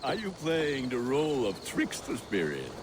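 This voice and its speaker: An actor playing a hearty, proud Viking with an expressive, bantering way of talking in a video game. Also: male voice, mid-range voice, a little slow, noisy background. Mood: teasing. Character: hearty Viking man, teasing friend with fierce pride. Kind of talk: video game dialogue acting.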